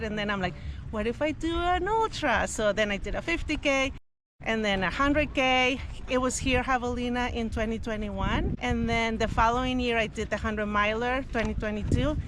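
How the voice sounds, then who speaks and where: A woman from Mexico talks warmly through her running story, voice on the higher side for a woman. She is chatty and earnest, leaning on fillers as she goes. A faint hum sits behind her. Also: rough recording. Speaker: runner interviewed at a race. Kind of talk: casual interview. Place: outdoors at a race event.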